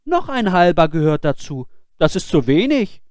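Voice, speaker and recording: A fearless young lad from a storybook, acted out by a male reader, pitched high and swooping freely. He is naive, bold and carefree, exclaiming cheerfully as if nothing could bother him. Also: very animated, moderate pace, a little mellow, dry recording, studio quality. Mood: bold excitement. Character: cheerful fearless naive youth, boastful and unbothered. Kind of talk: fairy-tale storybook character voice.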